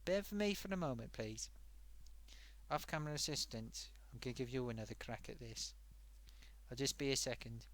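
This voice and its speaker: A man's voice: mid-range voice, normal speed, pauses often, fairly bright tone, a little hiss or hum, lightly acted. A man voicing a chatty sock puppet host who rambles off topic and talks to viewers, his throat getting sore. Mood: rambling. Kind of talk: rambling comedic live-stream monologue.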